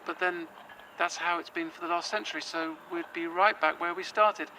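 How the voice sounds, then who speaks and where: An anxious, earnest young man, played by a male actor in an audio drama, speaking. His voice sits high and very bright, and he talks hesitantly with frequent fillers. Faint outdoor noise hums behind him. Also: fairly even intonation, moderate pace, low-quality recording.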